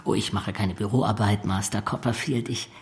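A servile young clerk in a radio drama, speaks with a creaky voice. He is oily and obsequious, forever making a show of his own lowliness. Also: steady tone, moderate pace. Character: fawning, falsely humble young male law clerk. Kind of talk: German radio drama acting.

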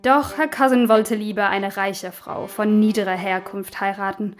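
A woman's voice: medium pitch, fairly even intonation, normal speed, soft background music. Woman giving dryly witty scripted commentary. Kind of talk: scripted explanatory commentary with dry wit.

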